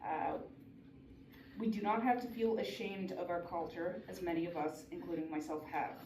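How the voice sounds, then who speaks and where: A woman's voice: on the low side, fairly even intonation, moderate pace. A woman giving a prepared, earnest talk at a conference, with small restarts. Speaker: conference speaker. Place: conference hall. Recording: echoey hall from far off, noisy.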